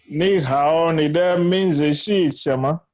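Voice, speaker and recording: A man's voice: fairly high pitch, slow pace, a little mellow. A man from Ghana, a student in an online class, carefully repeating practice phrases. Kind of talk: reading drills aloud.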